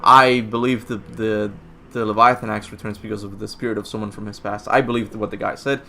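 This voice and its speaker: A man's voice: fairly low pitch, little pitch movement, fairly quick, creaky, pushed and bright, a little hiss or hum. Rambling young man answering viewer questions. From Cuba. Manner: rambling, self-deprecating, laughs at own slips. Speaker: gaming channel host answering viewer questions. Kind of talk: casual livestream Q&A chat. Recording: faint music behind.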